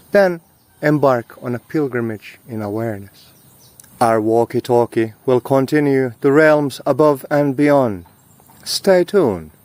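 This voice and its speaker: A man speaks as the host of a scripted spiritual talk. His voice sits at a medium pitch. He is grave and oratorical, setting out each short phrase with deliberate weight. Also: a little mellow, dry recording.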